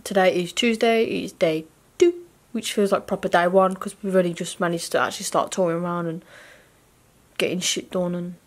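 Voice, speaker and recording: A woman's voice: fairly low pitch, fairly even intonation, a little fast. Young woman vlogger, sweary and rambling, handheld camera in a hotel room. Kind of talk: casual vlog chat. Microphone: phone or camera at arm's length.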